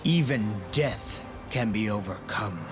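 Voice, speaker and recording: A grim shadow knight speaks slowly and coldly over prominent music. His mid-pitched voice is measured and brooding, yet swings expressively through his fantasy game drama. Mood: cold menace. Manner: deadpan threats, grim, measured. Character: cold, brooding male shadow knight swordsman. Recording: game scene under loud music.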